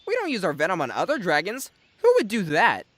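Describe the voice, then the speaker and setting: A man's voice: high voice, huge pitch swings, brisk, fairly bright tone, no echo, heavily acted. A man playing a carefree young male dragon in a fantasy dub, a friendly, enthusiastic big brother. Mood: relaxed. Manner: breezy, enthusiastic. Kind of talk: dubbed fantasy drama acting.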